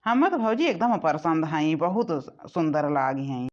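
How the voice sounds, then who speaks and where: A woman with an Awadhi accent voicing an excitable village family man in a cartoon comedy, emphatic and repeating himself, on a lo-fi soundtrack. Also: low voice, measured pace. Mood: admiring.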